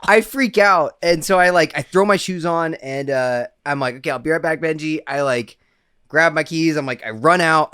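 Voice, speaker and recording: A man's voice: a little high, bright, forceful tone, no echo, clean studio sound. A man co-hosting a podcast, telling stories in a casual, comic, self-interrupting way. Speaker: podcast co-host.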